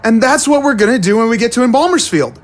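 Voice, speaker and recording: Woman as anxious young boy, audio drama. Lightly high voice, bouncy inflection, youthful and colloquial. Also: lively intonation, quick pace. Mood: eager, uneasy.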